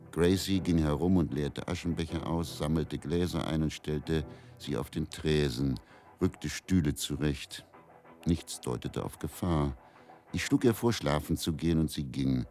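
A man playing a weary, fatalistic middle-aged faith healer in a radio drama monologue, telling his story in a measured, brooding way. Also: rumbling low, a little mellow.

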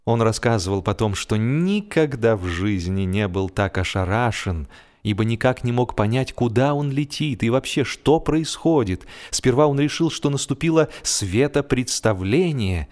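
A man reading a humorous story as an expressive, scripted narration, in a measured, theatrical storytelling manner. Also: medium pitch. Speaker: audiobook narrator. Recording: music behind the voice. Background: music.

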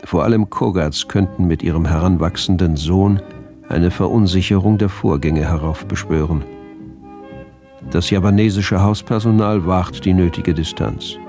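An actor playing a cold, obsessive doctor of a past era, reading his diary in a clipped, formal way in a radio play. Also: normal speed. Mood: clinical, self-assured. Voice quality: deep, creaky, dark. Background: faint music with violin.

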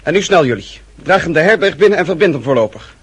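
A formal officer of old France played by a man in a radio costume drama, slightly noisy in the recording. His exclamatory voice sits a little high and moves around animatedly. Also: animated, fast, lightly acted. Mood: startled, commanding. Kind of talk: period radio drama acting.

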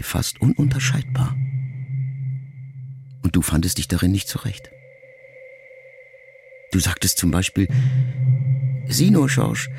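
A man reading a literary audiobook in a measured, reflective, intimate first-person tone, music clearly heard beneath. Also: low voice. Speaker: audiobook narrator. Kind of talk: scripted literary narration.

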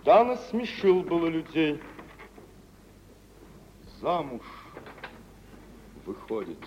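Dashing carefree gentleman, high-pitched, swooping delivery, declamatory, theatrical vintage radio play. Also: big pitch swings, takes it slowly, slight background noise. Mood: musing, nonchalant. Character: carefree, imperious gentleman, a dashing spendthrift reveller. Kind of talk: mid-century theatrical radio drama acting. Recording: dull old 1940s-50s radio recording.

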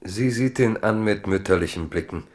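A man narrating a 1970s German radio drama in a measured, dramatic, literary storytelling style. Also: on the low side, darkish tone.

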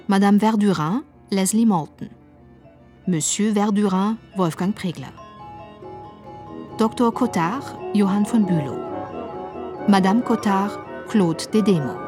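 A woman formally announcing radio drama credits with careful, clear enunciation. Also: medium pitch. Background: music.

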